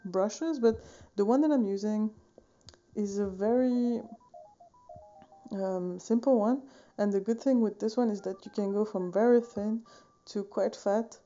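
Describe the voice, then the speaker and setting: A woman in her thirties from Switzerland, chatting casually about her painting in a relaxed, rambling way, with many fillers and small self-corrections. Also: medium pitch, unhurried, dull audio. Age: 30s. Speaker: painting vlogger. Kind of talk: casual tutorial chat. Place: home studio room, indoors, wooden shelves. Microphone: phone camera at desk distance.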